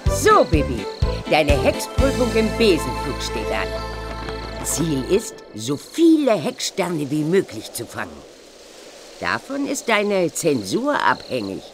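A woman's voice: low-pitched, huge pitch swings, slow pace, music in the background, heavily acted. A woman playing an older witch aunt and formal examiner in a children's computer game, theatrical and instructive. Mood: brisk, instructive.

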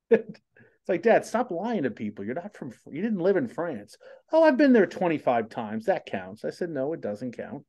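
A man's voice: on the high side, huge pitch swings, normal speed, darkish tone. Chatty man hosting an interview. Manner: chatty, rambling, upbeat. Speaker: host. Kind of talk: casual video-call interview. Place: small home studio room. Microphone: studio mic on boom arm.

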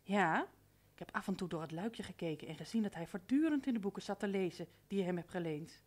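A woman's voice: mid-range voice, expressive, fast, a little mellow, close to an everyday voice. An actress playing an obedient, matter-of-fact female household helper in a radio play. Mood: dutiful.

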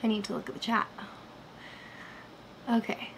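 Woman, 30s, host of a bedroom livestream, voice a bit hoarse, higher pitch, faint room hum, chatty and loose. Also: steady tone, moderate pace, a bit bright, poor audio. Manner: chatty, reads chat aloud, many fillers. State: slightly hoarse. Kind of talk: casual livestream chat. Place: bedroom, sitting in bed. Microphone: webcam framing.

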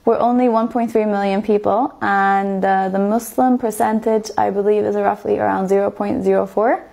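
Woman, twenties, from Estonia, native Estonian speaker, medium pitch, creaky fry, chatty video blogger, casual personal storytelling, emphatic word repetition. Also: steady tone, normal speed, dark tone. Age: 20s.

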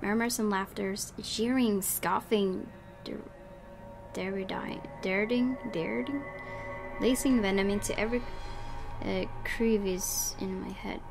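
A woman's voice: medium pitch, fairly even intonation, slow pace, vocal fry, music in the background. A woman hosting a gaming video, reading visual novel narration aloud with dramatic delivery and casual asides.

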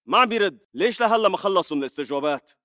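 مسلح شامي قلق وسريع الكلام. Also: male voice, sits high, lively intonation, very fast, pushed and bright, dry recording, studio quality. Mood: impatient. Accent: Levantine Arabic. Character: uneasy male militant checking on comrades. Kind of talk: video game combat chatter.

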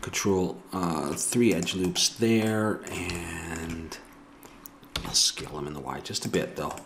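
A man's voice: low-pitched, steady tone, takes it slowly, vocal fry, noisy background. A middle-aged man with an Ottawa Valley Canadian accent, thinking aloud as he works through a 3D modeling tutorial at his desk and correcting himself as he goes. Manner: musing, self-correcting, talks to himself. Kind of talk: thinking aloud while working. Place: home room at a desk.

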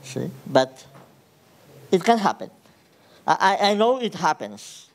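A man's voice: sits high, big pitch swings, takes it slowly. A man from Ecuador with an Ecuadorian Spanish accent giving a technical conference talk in a large hall, hesitant with many fillers and restarts. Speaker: conference speaker.

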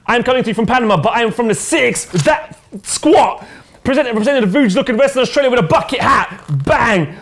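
A young man co-hosting a casual football chat show on a studio sofa, hyping segments with loud chanted shouts. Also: extremely high pitch, a little fast, a little hiss or hum. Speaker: co-host. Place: studio set with sofas.

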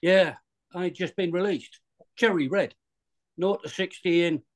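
A man's voice: high-pitched, a little slow. A man on a video call reading the part of an arrogant, entitled rich young man who sneers at everyone. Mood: sneering.

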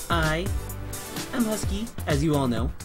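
A young man hosting a casual game let's play, playful and chatty, getting over a cold and clearing his throat now and then. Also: fairly high pitch, lively intonation, slow, over music. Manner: playful, chatty, talks to animals and co-player in a cutesy way. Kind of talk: casual gameplay commentary.

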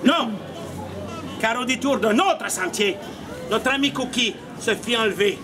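A man's voice: extremely high pitch, measured pace. A middle-aged man tells a comic story as a street puppeteer, projecting theatrically with mock drama and playful asides. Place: outdoors in a street against a stone wall. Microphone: camera at a few metres. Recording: outdoor camera recording with audience noise. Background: loud crowd and audience laughter.

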